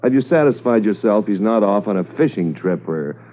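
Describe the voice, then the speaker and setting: Senior police commissioner, very low voice, world-weary, formal, 1950s radio drama, muffled narrow-band recording. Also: male voice, lively intonation, moderate pace, soft background music. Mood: businesslike.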